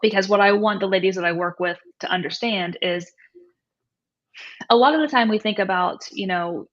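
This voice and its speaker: A woman's voice: mid-range voice, normal speed. A woman in her thirties or forties answering interview questions conversationally as a coach, explaining things and often checking in with "right?" and "you know". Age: 30s to 40s. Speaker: nutrition coach and guest. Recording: dull, lo-fi audio.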